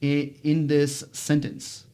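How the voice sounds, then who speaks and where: A man's voice: on the high side, completely flat delivery, slow, warm tone. A man whose first language is Portuguese, hosting a casual interview and often repeating phrases as he works out his questions. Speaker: podcast host.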